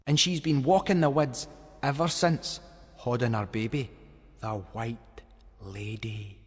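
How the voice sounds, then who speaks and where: A man's voice: medium pitch, fairly even intonation, slow, breathy edge, long reverb tail. A Scottish man voicing a teenage boy who eagerly tells a ghost legend in an insistent, suspenseful way, in a storybook reading. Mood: eerie, eager.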